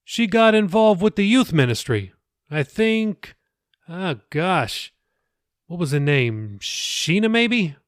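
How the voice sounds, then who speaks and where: A man voicing a kindly middle-aged pastor, chatty and gently teasing, with a hesitant, folksy manner in an audiobook reading. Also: high-pitched, big pitch swings, slow, no room sound, clean studio sound, pitched above the performer's own voice, full character voice. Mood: flustered.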